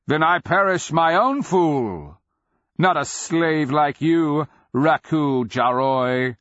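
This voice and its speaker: A man voicing an ancient, half-mad nonhuman warrior king who taunts in loud, declamatory cries for a fantasy audiobook. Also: drawn-out words, no echo, highs cut off, full character voice. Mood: defiant. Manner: high, shouted, declamatory taunting. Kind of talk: fantasy audiobook character acting.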